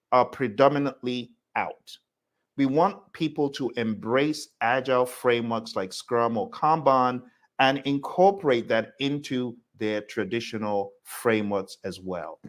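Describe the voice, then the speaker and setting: Man, medium pitch, live online instructor, emphatic delivery, conversational, speaks straight to listeners. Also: animated, a little slow. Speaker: instructor hosting a live class. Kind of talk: live online lecture with audience questions.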